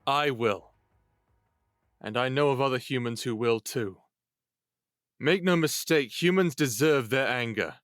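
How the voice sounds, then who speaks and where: A slow, grave, earnest advocate. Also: male voice, medium pitch, no echo, studio quality. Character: grave, earnest man pleading for reconciliation. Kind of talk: dramatic audio drama acting.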